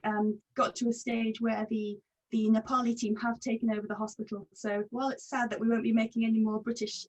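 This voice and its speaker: A woman's voice: fairly high pitch, a little mellow, husky, rough recording. A woman ear surgeon introducing herself and her charity work in a video call meeting, friendly and earnest.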